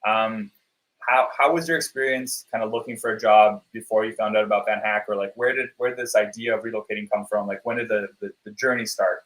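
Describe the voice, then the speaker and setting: A man's voice: lowish voice, fairly even intonation, brisk, a bit bright, poor audio. A man hosting a live webinar interview, upbeat and loose, with many fillers and restarts. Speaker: webinar host.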